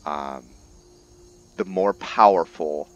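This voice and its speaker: A man's voice: low-pitched, slow, dull audio. A man hosting a gaming video, giving casual roleplay commentary in a musing, thinking-aloud manner.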